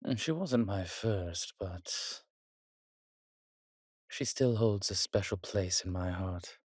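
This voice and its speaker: Man acting a slow, husky, roguish old vampire. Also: deep voice, dry recording, clean studio sound, full character voice. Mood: amused, nostalgic. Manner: theatrical, archaic turns of phrase, teasing. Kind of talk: intimate roleplay audio drama.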